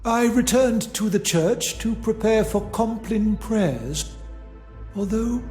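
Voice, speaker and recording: A man's voice: high-pitched, slow, darkish tone, reverberant. A man voicing a blunt, pious older bishop in a video game, haughty and curt in manner. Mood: guarded, indignant. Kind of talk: scripted video game dialogue acting. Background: music.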